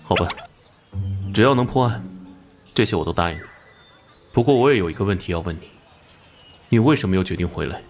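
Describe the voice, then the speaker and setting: An actor playing a gruff, practical police squad captain in an animated crime drama, plain-spoken and commanding. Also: male voice, deep voice, fairly quick, pauses often, soft background music. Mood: conceding, probing. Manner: plain-spoken, businesslike, giving orders.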